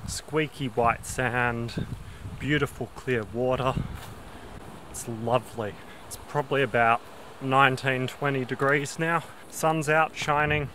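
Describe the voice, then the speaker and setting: A young man narrates his hike into a camera held at arm's length, easygoing and keen on the views. His voice sits in an ordinary middle register, and the open outdoor air is clearly audible behind him. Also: medium pitch, slow pace, slight background noise, low-quality recording. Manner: relaxed, enthusiastic about scenery. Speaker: hiking vlogger. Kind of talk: casual vlog narration. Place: outdoors on a bush trail and beach. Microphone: handheld camera at arm's length.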